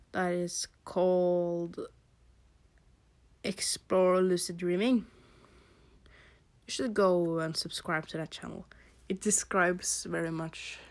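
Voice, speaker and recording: A young woman from Norway with a Norwegian accent, hosting a casual podcast, rambling in a halting way with fillers and soft laughs. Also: on the low side. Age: teens or young adult. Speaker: podcast host.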